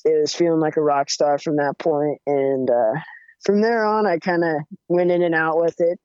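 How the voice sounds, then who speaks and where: A young man with a higher-pitched voice, chatting casually as a musician guest with lots of fillers and restarts. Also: clear, resonant tone, no echo. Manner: relaxed, rambling, many fillers and restarts. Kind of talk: casual interview chat. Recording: dull, muffled audio.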